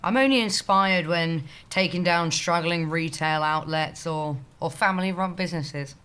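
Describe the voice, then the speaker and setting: Woman playing a low-pitched, sardonic office slacker. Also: moderate pace, a bit bright, clean tone, close to an everyday voice. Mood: sly, reluctant. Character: sardonic, surly female office slacker and internet troll. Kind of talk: comedy audio drama acting.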